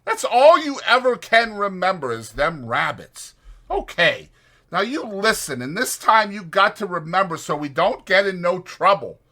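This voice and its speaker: A man's voice: high-pitched, very animated, unhurried, pushed and bright, pitched above the performer's own voice, exaggerated voice. A man voicing a short-tempered, bossy working man who scolds in rustic, ungrammatical speech in a dramatized audiobook. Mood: bossy, instructing.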